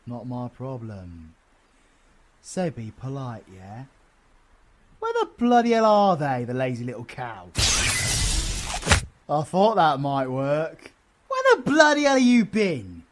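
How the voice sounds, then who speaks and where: A man's voice: mid-range voice, wildly swooping pitch, slow pace, pauses often, slight background noise. A British man playing a cocky, sardonic, foul-mouthed but affectionate supervillain in an audio roleplay, with slangy, teasing delivery. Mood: cool menace, then gleeful, irritated. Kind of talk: audio roleplay drama acting.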